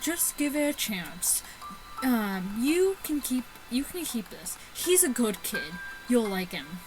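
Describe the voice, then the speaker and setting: Female gamer, animated delivery, reads story games aloud with giggly, sweary asides, music playing behind her. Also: a little high, slow pace, poor audio. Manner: sweary, giggly, stumbles while reading. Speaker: gaming YouTuber. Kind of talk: casual let's play commentary and read-aloud of a visual novel.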